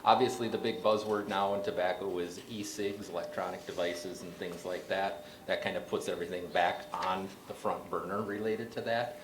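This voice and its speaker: Deep-voiced man introducing a speaker. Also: fairly even intonation, normal speed, few pauses. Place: county meeting room. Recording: echoey room with background hiss.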